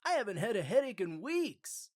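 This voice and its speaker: Lively eager pony patient played by a woman. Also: medium pitch, moderate pace. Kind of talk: scripted audio drama.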